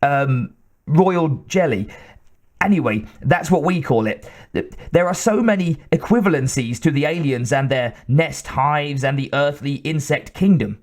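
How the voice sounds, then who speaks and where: A man's voice: a little high, lively intonation, measured pace. A man voicing a nervous little male scientist who stammers, with a nasal twang and a slightly raised voice. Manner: stammering, timid.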